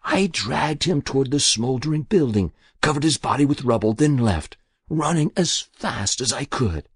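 A man voicing an elderly woman quietly confessing to a long-ago murder, in a raised, lively voice. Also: mid-range voice, normal speed, slightly rough, no echo, clean studio sound, voice pushed up. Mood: pained.

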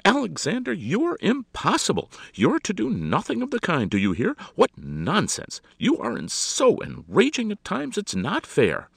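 Storybook society hostess, acted by a man, high-pitched, theatrical, imperious, impatient, gushing, very dry close sound. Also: huge pitch swings, unhurried, vocal fry, few pauses, a bit bright, breathy edge, no echo, full character voice. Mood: indignant, alarmed.

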